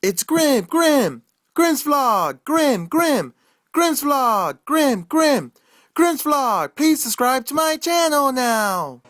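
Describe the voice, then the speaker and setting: A squealing hyper jingle chanter. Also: male voice, very high voice, sing-song, drawn-out words, fairly bright tone, voice pushed up, exaggerated voice. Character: manic shrill male jingle chanter, hyper.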